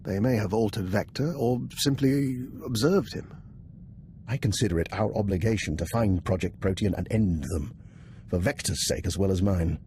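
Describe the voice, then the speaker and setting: A man's voice: on the low side, moderate pace, warm tone, slight background noise, lightly acted. An actor playing a shrewd older male scientist spy in a video game, formal, wry and precise. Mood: grave, precise. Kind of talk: video game cutscene acting.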